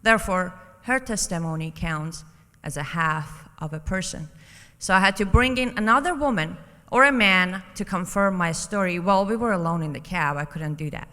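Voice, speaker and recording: A woman from Iran, a native Persian speaker, giving a personal testimony as a conference speaker, deliberate and emphatic with dramatic pauses. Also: fairly low pitch, bright, forceful tone. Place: conference hall, public address. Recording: reverberant hall.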